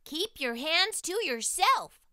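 A woman voicing a stern, exasperated female schoolteacher, scolding loudly and emphatically in a storybook read-aloud. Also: extremely high pitch, very animated, slow pace, dry recording, clean studio sound, pitched above the performer's own voice, full character voice.

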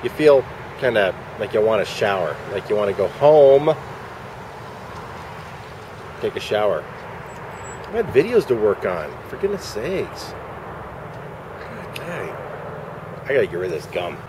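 Fortyish guy, slightly low voice, wry deadpan vlog chatter, recorded at arm's length in a busy parking lot. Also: unhurried, long pauses. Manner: dry, wry running commentary, muttered asides. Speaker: vlogger. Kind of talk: rambling vlog commentary. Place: outdoors at a shopping center parking lot. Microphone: handheld camera at arm's length. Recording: handheld camera outdoors with traffic noise. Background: traffic and outdoor ambience.

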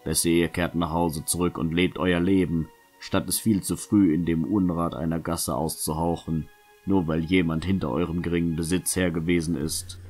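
Casting: male actor as stern, weary fantasy knight, very low voice, grave lecturing delivery, music underneath. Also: flat delivery, normal speed, darkish tone. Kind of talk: fantasy audio drama acting.

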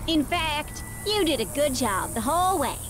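Flamboyant, high-voiced, condescending TV journalist. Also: female voice, sing-song, normal speed, very noisy. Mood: patronizing. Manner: showy, condescending, theatrical. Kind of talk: animated video game voice acting.

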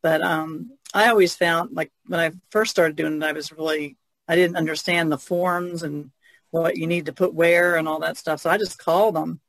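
A woman's voice: sits low, fairly even intonation, normal speed, dry recording, low-quality recording. A woman chatting casually as a panelist on a podcast.

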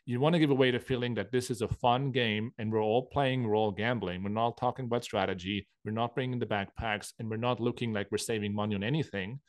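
A man's voice: mid-range voice, fairly even intonation, fast talker, few pauses, dry recording. A man, a guest on a podcast, giving direct, assertive advice in casual interview talk. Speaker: podcast guest, poker player.

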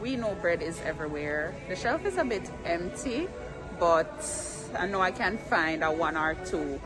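A woman's voice: fairly low pitch, low-quality recording. A young woman from Jamaica, vlogging casually and upbeat as she talks straight to her phone camera while shopping. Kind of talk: casual travel vlog. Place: inside a car, then store aisles. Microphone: phone held at arm's length.

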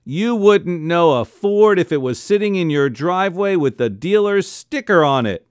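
Man doing a defiant bellowing uncle, pitched up. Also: lively intonation, moderate pace, smooth, dry recording, clean studio sound. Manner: emphatic, declamatory.

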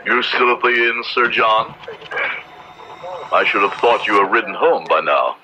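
An actor playing a stern, dutiful Puritan judge in a radio drama, with a formal, authoritative, archaic way of speaking. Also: male voice, on the low side, measured pace, sharp tone. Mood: stern, resolute. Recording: dull old radio recording.

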